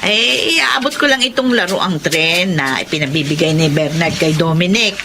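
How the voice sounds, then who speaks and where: A brisk, friendly neighbor woman with a lively, bouncing voice, as a woman acts her out. Ambient noise fills the background clearly. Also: fairly low pitch. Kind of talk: audio drama acting.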